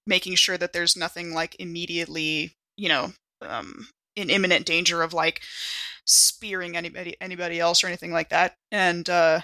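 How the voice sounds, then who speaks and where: Bright-voiced woman playing a tabletop game. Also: on the low side, dry recording. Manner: informal, chatty, thinking aloud. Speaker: player in a tabletop role-playing game podcast. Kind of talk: casual improvised tabletop game play.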